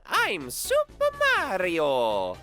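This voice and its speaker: A man's voice: high-pitched, huge pitch swings, drawn-out words, sharp tone, reverberant, faint music behind, voice pushed up, full character voice. A man voicing a cheerful, cocky video-game plumber in a showy, exaggerated cartoon comedy style. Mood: showy, upbeat.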